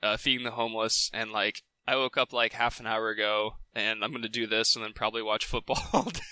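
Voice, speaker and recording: A man co-hosting a casual comedy podcast, giggly and quick to agree. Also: fairly low pitch, level tone, bright, forceful tone, clear, resonant tone, dry recording. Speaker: podcast co-host.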